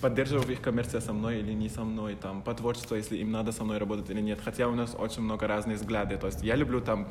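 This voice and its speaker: A man with an Arabic accent chatting in an interview, talking quickly and warmly about his team, often laughing, with slightly broken grammar. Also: on the low side, big-room sound, a little hiss or hum. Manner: fast, animated, laughs often, imperfect grammar. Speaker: fashion photographer. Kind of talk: casual interview chat.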